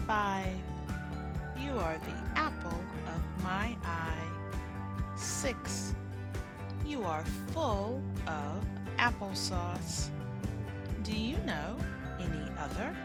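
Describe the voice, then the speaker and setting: Woman, children's read-aloud, very slow delivery, careful teacherly enunciation, prominent background music. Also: mid-range voice. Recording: loud music behind the voice.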